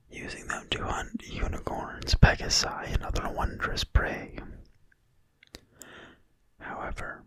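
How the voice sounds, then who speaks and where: A person reading in a quiet, breathy whisper, soft and deliberate, as in an ASMR reading of a fantasy book. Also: no room sound, low-quality recording. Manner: slow, soft, unhurried, deliberate. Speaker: ASMR video creator and reader.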